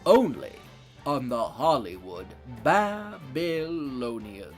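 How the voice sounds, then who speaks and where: A man hosting a film podcast, talking in an animated, emphatic and chatty way. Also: medium pitch, takes it slowly, soft background music. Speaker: podcast host. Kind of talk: theatrical scripted intro, then casual film chat.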